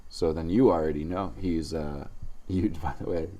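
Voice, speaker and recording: A man's voice: very deep voice, steady tone, normal speed, dark tone. A man co-hosting a comedy podcast in casual banter, with dry, sarcastic joking. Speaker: podcast co-host.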